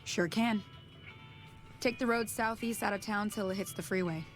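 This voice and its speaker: A woman's voice: mid-range voice, moderate pace, lightly acted. An actress voicing a plainspoken frontier townswoman in a game, blunt and matter-of-fact, with faint music underneath. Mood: helpful. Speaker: game character.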